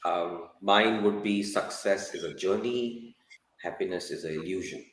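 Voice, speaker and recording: A man's voice: deep voice, measured pace. A man giving reflective, conversational answers as a guest on a panel podcast. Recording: echoey room with noticeable background noise.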